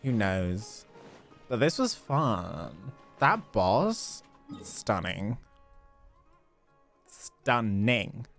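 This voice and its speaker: A man's voice: fairly low pitch, big pitch swings, extremely slow, long pauses, fairly bright tone. A man giving casual live commentary on a game as he plays, musing aloud as he works things out. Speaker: game livestreamer. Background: game music.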